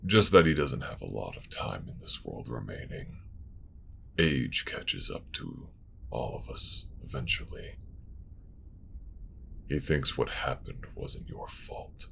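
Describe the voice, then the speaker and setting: A man playing a stern, world-weary religious official in a fantasy audio drama, gravelly, measured and formal. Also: rumbling low, very animated, a bit bright, a little hiss or hum, heavily acted.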